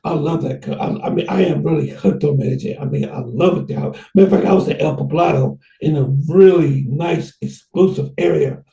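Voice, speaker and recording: Gravelly, dark-voiced man in an echoey room. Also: on the high side, recorded from a distance. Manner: rambling, halting, many restarts. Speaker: host. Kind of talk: casual conversation.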